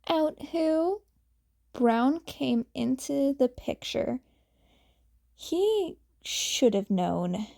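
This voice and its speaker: A woman's voice: fairly high pitch, big pitch swings, extremely slow, gravelly, mellow. A woman narrating a story as an amateur fan fiction reader.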